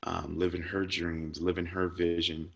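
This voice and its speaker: A man with a fairly low voice speaks earnestly and reflectively, close to tears at times. His speech comes through dry and close, with no room sound. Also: flat delivery, a little slow, vocal fry, husky, no room sound, lo-fi sound. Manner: earnest, reflective, repeats words when moved. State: emotional, near tears. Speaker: guest speaker. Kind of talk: emotional personal storytelling.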